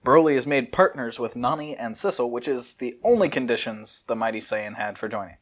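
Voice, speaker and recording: A man's voice: medium pitch, a little fast. A man reading a fan fiction outline aloud as a podcast host, breaking off often to comment and joke.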